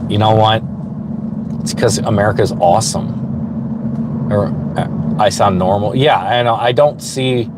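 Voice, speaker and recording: Low-voiced Florida man, relaxed rambling streamer chat from a moving car, heavy road noise. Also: measured pace, long pauses. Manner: rambling, easygoing, chuckles often. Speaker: streamer searching roads for snakes. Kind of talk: casual live chat while driving, reading viewer comments. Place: inside a car on roads at night.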